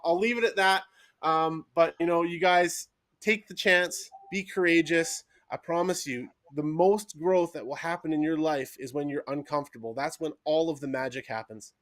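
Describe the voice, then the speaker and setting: A man's voice: a little high, no room sound. A man giving a casual, filler-heavy motivational testimony on a live stream, often saying "like". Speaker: team member sharing his story.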